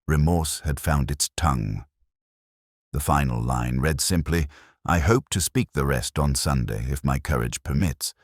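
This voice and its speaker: Very deep-voiced man narrating a brooding mystery. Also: breathy edge, no echo, clean studio sound. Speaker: narrator of an audio detective story. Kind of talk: first-person scripted mystery narration.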